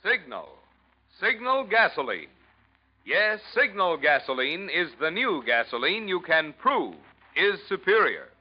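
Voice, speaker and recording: A man's voice: high-pitched, sing-song, unhurried, long pauses. A man announcing in formal, emphatic 1940s radio style from a script. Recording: dull vintage radio recording.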